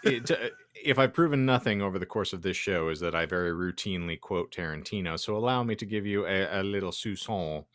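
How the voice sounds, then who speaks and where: A man's voice: low voice, fairly quick, hardly any pauses, a bit bright, no room sound, clean studio sound. A man hosting a comedy podcast, talking in a theatrical, wordy, sarcastic and foul-mouthed way. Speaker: podcast host reading fan fiction aloud.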